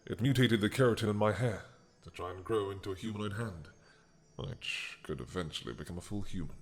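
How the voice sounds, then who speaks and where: An ancient dragon king, brooding and full of regret, as a man acts him out, musing aloud in a low, deep voice. He speaks slowly and softly, sighing between thoughts, in an audio roleplay. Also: natural voice. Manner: slow, soft-spoken, musing with sighs.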